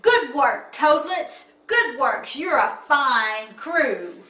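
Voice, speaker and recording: A woman's voice: sits high, expressive, drawn-out words, sharp tone, heavily acted. Woman putting on a blustery old sea captain, echoey room. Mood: proud, commanding. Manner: blustery, emphatic sailor talk. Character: gruff but hearty old sea captain, male storybook animal. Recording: roomy, picked up from a distance.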